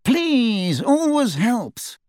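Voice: medium pitch, very animated, a little slow, dry recording, clean studio sound, voice pushed up. A performer voicing a cold, haughty old wizard in a portrait, with pompous, sneering, old-fashioned speech, in an audiobook. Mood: snide.

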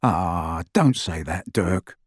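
A performer voicing a mild, easygoing man on the run with a soft, wry way of talking, in an audiobook. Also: mid-range voice, big pitch swings, a little slow, breathy edge, dry recording, studio quality, full character voice. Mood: pained.

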